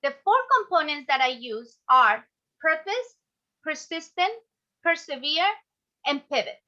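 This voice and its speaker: Bright, high-voiced Ecuadorian-accented woman presenting. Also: animated, unhurried. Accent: Ecuadorian Spanish. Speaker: speaker, business coach and class host. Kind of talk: motivational online class presentation. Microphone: video call. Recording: dull, lo-fi online call audio.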